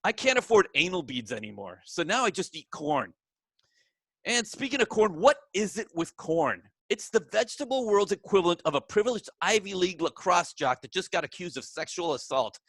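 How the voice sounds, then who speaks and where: A man delivering stand-up one-liners with a lively, swooping delivery. His voice is bright and sits fairly high, recorded dry and close with no room sound. He tosses in fillers and lets the jokes land flatly. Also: a little fast, dry recording. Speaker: stand-up comedian. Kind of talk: online stand-up set. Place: Miami.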